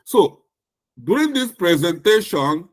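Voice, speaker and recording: Slow-speaking Nigerian man lecturing. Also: high voice, very animated. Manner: slow, deliberate, with emphatic repetition. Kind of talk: recorded lecture.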